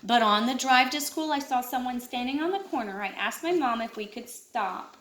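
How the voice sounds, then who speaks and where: High-voiced woman reading a picture book. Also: normal speed. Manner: expressive, lilting read-aloud delivery. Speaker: children's book reader. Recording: echoey room.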